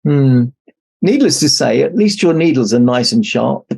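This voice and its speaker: A man's voice: medium pitch, expressive, measured pace, vocal fry, mellow, slightly rough, full character voice. A man voicing a kind, caring porcupine doctor from a children's storybook, cheerful if a little weary. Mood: concerned.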